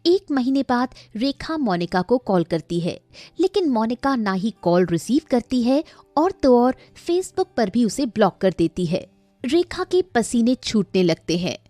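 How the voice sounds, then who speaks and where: A woman's voice: fairly high pitch, very animated, a little slow, dark tone, no echo. A woman narrating a scripted story in a dramatic storytelling style. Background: faint music.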